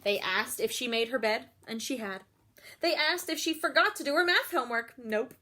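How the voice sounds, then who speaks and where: Female storyteller, high voice, expressive children's read-aloud with explanatory asides. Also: moderate pace. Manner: expressive, explains feelings and puts questions to children. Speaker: storyteller reading a picture book to children.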